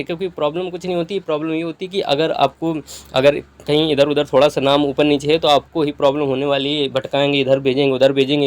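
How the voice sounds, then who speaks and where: A man speaks in a slightly high voice. He explains things step by step in a casual, instructive way, like an online guidance creator addressing viewers. He keeps adding short tags to check that listeners are following. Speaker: YouTube exam-guidance creator.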